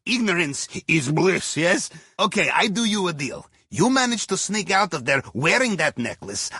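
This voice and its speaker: An actor playing a wry, jovial Russian submarine captain who guides a heist crew in a video game, with a Russian accent and clipped broken English. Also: male voice, sits high, bright, forceful tone, breathy edge, dry recording, studio quality, heavily acted. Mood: dry, instructive. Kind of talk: scripted video game radio dialogue.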